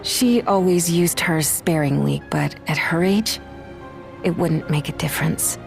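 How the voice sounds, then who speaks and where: A woman's voice: low voice, unhurried, gravelly, over music. An actress playing a sombre, matter-of-fact woman in a game cutscene, subdued and resigned. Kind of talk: dramatic video game cutscene acting.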